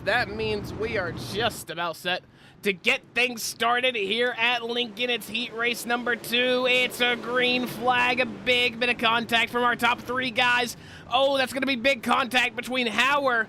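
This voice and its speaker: A man calls a sim race with a high, bright voice that gets more eager as the cars close in on each other. His nose is a little stuffy from a cold. Faint engine roar hums underneath him. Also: measured pace, slight background noise. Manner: excitable, rising pitch at close battles. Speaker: race commentator. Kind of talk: sim racing play-by-play commentary.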